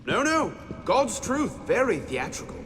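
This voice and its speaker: Young male hero: dry wit, seeking redemption, animated intonation, reverberant game-cutscene sound. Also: very high voice, measured pace, exaggerated voice. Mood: wry, teasing. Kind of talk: dramatic video game acting. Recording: long reverb, faint background noise.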